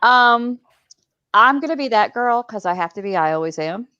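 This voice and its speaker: A woman's voice: fairly high pitch, animated, moderate pace, pushed and bright, clear, resonant tone, dry recording, muffled recording. A woman giving her opinions casually as a panelist, earnest and emphatic.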